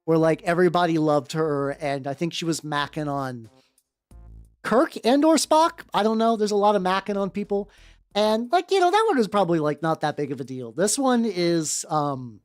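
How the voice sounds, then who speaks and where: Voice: medium pitch, animated, moderate pace, dry recording. A livestream host chatting casually in a rambling, slangy, sweary way that often repeats phrases. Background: background music.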